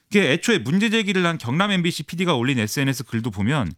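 Fast animated male political commentator. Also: a little high, dry recording, clean studio sound. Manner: fast, animated explaining with many fillers. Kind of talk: radio current-affairs analysis.

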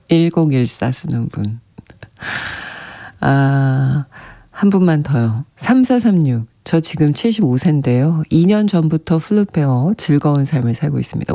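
A radio host with a soft voice that sometimes drops to a breathy whisper, chatting gently and reading listener messages on a classical music show. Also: mid-range voice. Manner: gentle, chatty, often laughing softly.

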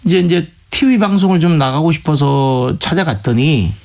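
A middle-aged man speaking with a Gangwon Korean dialect, recounting his past in a loose, rambling way. His sentences break off and restart, packed with fillers. His pitch swings widely as he talks. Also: expressive, moderate pace, creaky, darkish tone. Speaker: guest singer. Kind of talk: casual storytelling interview.

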